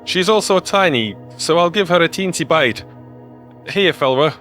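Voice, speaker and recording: A man's voice: on the high side, expressive, moderate pace, fairly bright tone, faint music behind. A man voicing a nonchalant, easygoing human male crewmate in a sci-fi audio story. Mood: casual. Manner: casual, nonchalant.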